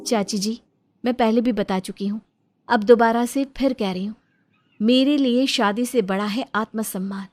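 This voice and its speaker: A woman's voice: a little high, normal speed, soft background music, natural voice. A woman voicing a principled young daughter-in-law and village teacher in a cartoon story, earnest and persuasive. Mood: firm, resolute. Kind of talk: cartoon moral story dialogue.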